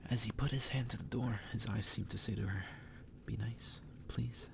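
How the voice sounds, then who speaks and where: A man reading a story aloud in a hushed, breathy ASMR whisper. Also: fairly even intonation, normal speed, background noise. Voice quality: breathy whisper, gravelly low voice. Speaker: ASMR reader.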